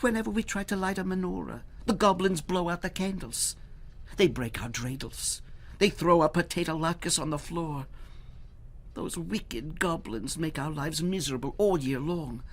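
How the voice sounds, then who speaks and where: Man putting on a high, plaintive frightened villager. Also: normal speed. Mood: plaintive, fearful. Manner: plaintive, complaining. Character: frightened, miserable villager plagued by goblins.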